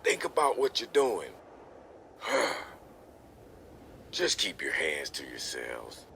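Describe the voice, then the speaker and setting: Actor as a deep, forceful scolding father. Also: expressive, measured pace, slight background noise, exaggerated voice. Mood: exasperated, scolding. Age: middle-aged. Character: stern, exasperated protective father of a teenage girl. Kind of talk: voice acting for a motion comic.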